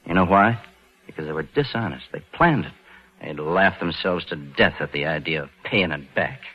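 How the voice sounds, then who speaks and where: Low-voiced scheming American bank clerk. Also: male voice, animated, normal speed, breathy edge. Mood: urgent persuasion. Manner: hard-boiled, slangy, persuasive. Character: scheming, slangy male bank clerk plotting a theft. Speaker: lead actor and first-person narrator. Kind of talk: 1940s American radio crime drama acting. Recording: dull vintage 1940s radio recording with music.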